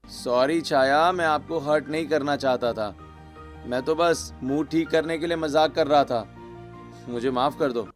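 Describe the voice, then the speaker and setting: A man's voice: fairly high pitch, measured pace, soft background music, natural voice. A man voicing a teasing, playful young brother-in-law in a family cartoon. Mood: apologetic.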